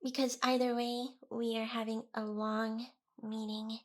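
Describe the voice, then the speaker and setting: A woman's voice: a little high, little pitch movement, unhurried, slight background noise, close to an everyday voice. A woman playing a smug, seductive, possessive demon boss in an ASMR roleplay, with a teasing, theatrical delivery. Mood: sultry, menacing.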